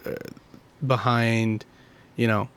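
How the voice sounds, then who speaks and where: A man's voice: mid-range voice, little pitch movement, slow pace, fairly bright tone, clean tone. A young man co-hosting a podcast, chatty and teasing, steering the talk. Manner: chatty, leads the discussion, teasing. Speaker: podcast co-host. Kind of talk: casual chat.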